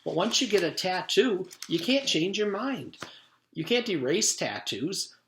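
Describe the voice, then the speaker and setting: A man's voice: sits high, sing-song, unhurried, fairly bright tone, voice pushed up, exaggerated voice. A man voicing an indecisive, earnest schoolboy who gripes and frets, in a storybook reading. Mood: agonized, fretful. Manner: griping, muttering, repeating himself. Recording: roomy echo.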